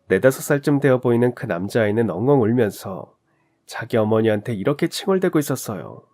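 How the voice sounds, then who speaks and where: A man calmly narrating a listener's true story in an earnest storytelling voice. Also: mid-range voice.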